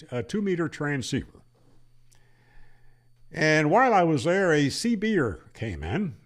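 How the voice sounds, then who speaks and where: A man hosting a hobby radio channel, explaining and telling stories in a folksy, conversational way. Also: fairly high pitch. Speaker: ham radio channel host. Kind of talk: explanatory storytelling to camera.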